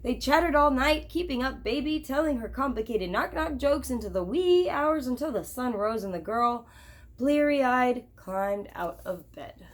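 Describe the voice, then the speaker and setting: A woman reads a picture book aloud as a teacher would for children. Her voice sits fairly high and moves up and down a great deal. She is expressive and drops in chatty asides to her young listeners. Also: measured pace.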